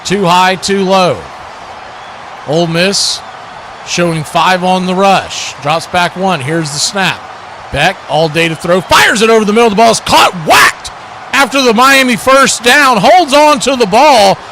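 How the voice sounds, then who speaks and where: A man doing live play-by-play football commentary for a stream, in clipped phrases that grow excited on big plays. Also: high voice, unhurried, a little hiss or hum.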